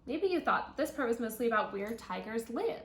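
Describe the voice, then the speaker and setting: Female primary teacher, higher-pitched voice, instructional read-aloud for young children with thinking-aloud asides, roomy echoing sound. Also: very animated, moderate pace. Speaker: second grade teacher reading aloud. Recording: roomy echo, audible background noise.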